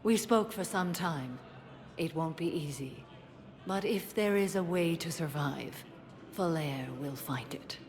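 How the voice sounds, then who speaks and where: A woman's voice: low-pitched, animated, a little slow, darkish tone, faint music behind. A woman playing a solemn, composed alien warrior in a video game, speaking formally and with restraint. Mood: solemn, grateful. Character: female alien Justicar warrior, solemn, composed, devoted mother. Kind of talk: video game cutscene dialogue.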